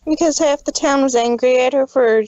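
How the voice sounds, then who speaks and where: A woman's voice: sits high, moderate pace, a little mellow, no room sound, lo-fi sound, close to an everyday voice. A woman voicing an earnest, loyal young girl pony in a cartoon fanfic drama. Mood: curious, earnest.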